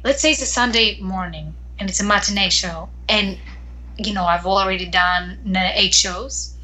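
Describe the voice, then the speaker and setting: A woman from Greece speaks as a guest singer in an interview. Her voice is bright and clear at a mid pitch, and she talks thoughtfully, pausing and restarting her sentences as she searches for words. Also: low-quality recording. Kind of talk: casual interview chat.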